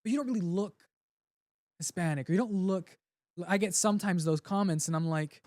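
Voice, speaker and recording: A young man from Puerto Rico, a native Spanish speaker, talks earnestly as a guest in an interview. His voice sits a bit high for a man. He restarts his sentences often, and the sound is dry and close, with no room echo. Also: brisk, a little mellow. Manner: earnest, heartfelt, with frequent restarts. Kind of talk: casual interview conversation.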